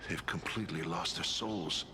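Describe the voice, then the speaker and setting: An actor playing a courteous, weary military officer of an ancient kingdom in a video game, speaking formally and hesitantly. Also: male voice, deep voice, normal speed, sharp tone, a little hiss or hum. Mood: grave, weary. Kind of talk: video game story dialogue.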